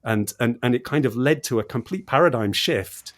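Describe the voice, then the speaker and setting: A man's voice: medium pitch, moderate pace. A man explaining science in conversation as an interview guest, with an enthusiastic manner and repetition for emphasis. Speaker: podcast guest, cosmologist explaining research.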